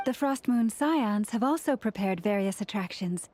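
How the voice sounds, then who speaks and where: A woman's voice: mid-range voice, expressive, normal speed, darkish tone, dry recording, lightly acted. A woman playing a gracious, joyful young priestess in an anime game, with a polite, formal manner. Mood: pleased. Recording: faint music behind the voice.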